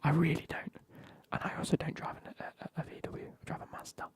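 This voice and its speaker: Whispering man chatting on stream. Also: fast talker. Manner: whispering, banter with chat, swearing. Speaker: live streamer. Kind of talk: whispered casual stream chat.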